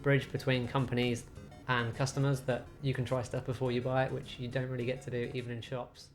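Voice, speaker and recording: A man who owns a games cafe talking about his business in a relaxed, rambling way with many fillers. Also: mid-range voice, a little fast, soft background music.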